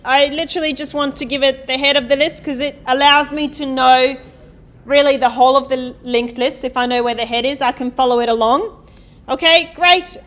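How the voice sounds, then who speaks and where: A woman giving a live coding lecture, talking loudly and quizzing the class as she types. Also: high-pitched. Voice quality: loud voice. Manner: chatty, asks the class questions, thinks aloud while typing. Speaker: university programming lecturer. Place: lecture hall.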